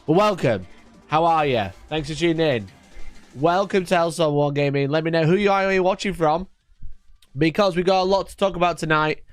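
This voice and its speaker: A man hosting a casual live stream, energetic and chatty, with a congested voice from a cold. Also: on the high side, clean tone, no echo. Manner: energetic and chatty, repeating his greetings. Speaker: livestream host.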